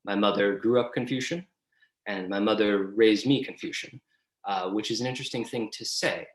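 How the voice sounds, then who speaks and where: A man's voice: on the low side, normal speed. A man from the United States giving an explanatory seminar lecture over a video call in a conversational way. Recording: echoey room sound.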